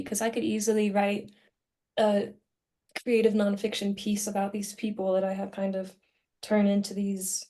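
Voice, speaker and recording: A woman's voice: medium pitch, creaky, warm tone. A young woman talking casually as co-host of a student podcast discussion. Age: young adult.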